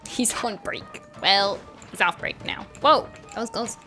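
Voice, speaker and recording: A woman's voice: mid-range voice, unhurried. A woman doing casual, playful gameplay commentary as a streamer, full of quips and teasing asides. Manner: quippy, dry teasing asides. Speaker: game streamer. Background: game music.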